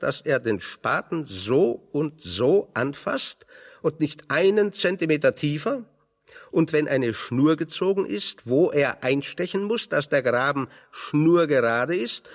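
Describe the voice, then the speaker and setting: An ironic pedantic scientist, radio drama, very swoopy intonation, echoing hall sound. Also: male voice, medium pitch. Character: wry, pedantic intellectual exile, a man of science. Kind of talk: German radio play dialogue.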